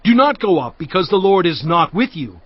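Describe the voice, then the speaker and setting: Stern prophet, high for a man, emphatic, urgent, reproving, scripture drama, dry slightly dull recording. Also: very animated, a little fast, slightly rough, no echo, exaggerated voice. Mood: urgent warning. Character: Moses, stern urgent male prophet warning his people.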